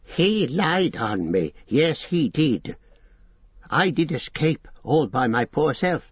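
A wretched, sly creature hisses and whines through drawn-out phrases, dropping to a breathy whisper and jumping into high squeaks. The recording is dry and dull. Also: male voice, sing-song, no echo, heavily acted. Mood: indignant. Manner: wheedling, sulky, slow and drawn out. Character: hissing wretched creature, male, sly and whining.

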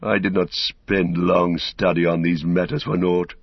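Man voicing a bitter, husky old fallen wizard. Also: sits low, level tone, dry recording, lo-fi sound, exaggerated voice. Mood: bitter mockery. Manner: mocking, archaic grandiose speech with drawn-out words.

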